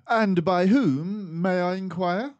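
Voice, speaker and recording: A man's voice: sits high, slow, a little mellow, dry recording, highs cut off, pitched above the performer's own voice. A man voicing a self-assured mouse detective from a storybook, formal and precise as he explains. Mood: self-assured, brisk. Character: confident, precise male mouse detective, lecturing and brisk.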